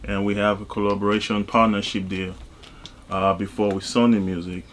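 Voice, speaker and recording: A man from Cameroon, talking casually as an interview guest in a halting way, with frequent asides. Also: fairly low pitch, flat delivery, a bit bright, a little hiss or hum. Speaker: interview guest, music artist and label executive.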